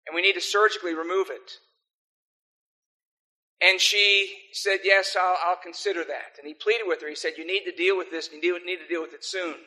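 Older male pastor, American accent, slightly high and bright voice, earnest sermon delivery. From South Africa. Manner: earnest, pleading in prayer. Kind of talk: sermon with opening prayer.

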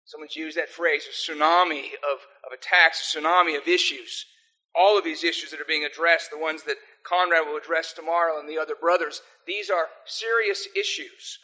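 An older man with an American accent preaches with earnest conviction. His voice sits a little high and rings bright and forceful. He leads prayer and sermon in a pleading, sincere manner. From South Africa. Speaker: pastor.

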